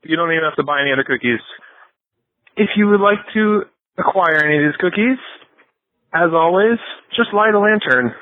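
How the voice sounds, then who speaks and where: Deadpan man with band-limited radio sound. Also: sits high, fairly quick, pauses often, no echo, narrow, tinny audio. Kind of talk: deadpan comic cookie sales announcement.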